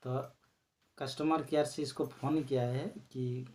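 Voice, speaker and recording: A man hosting his own channel, chatting casually to viewers and explaining a recorded call. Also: medium pitch. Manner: relaxed, explains slowly with fillers. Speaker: channel host.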